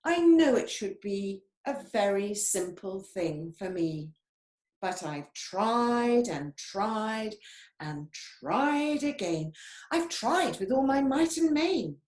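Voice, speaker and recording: A woman's voice: medium pitch, very animated, slow, hardly any pauses, a little mellow. Woman voicing a flustered little chameleon boy. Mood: fretful. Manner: pleading, flustered. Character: anxious, earnest little male chameleon. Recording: echoey room.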